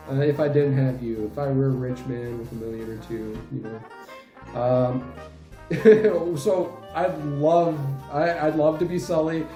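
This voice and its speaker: A man in his late twenties giving a casual channel update in a halting, rambling way. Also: mid-range voice, dark tone. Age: late 20s. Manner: halting, rambling, frequent chuckles mid-sentence. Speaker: channel host and fan dub group leader. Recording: roomy echo, faint music behind.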